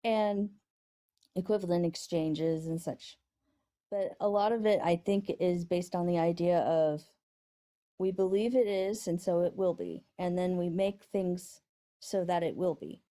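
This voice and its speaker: High-voiced woman in her forties, hesitant. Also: steady tone, measured pace, warm tone. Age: 40s. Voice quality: high-pitched voice. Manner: hesitant, self-doubting. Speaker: caller. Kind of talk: casual debate conversation.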